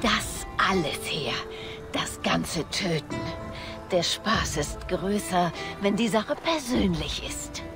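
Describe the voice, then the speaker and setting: Taunting villainess over loud music. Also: female voice, fairly low pitch, lively intonation, slow pace. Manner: taunting, self-assured. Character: cynical, manipulative female spymaster, proud betrayer. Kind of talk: dubbed video game villain acting.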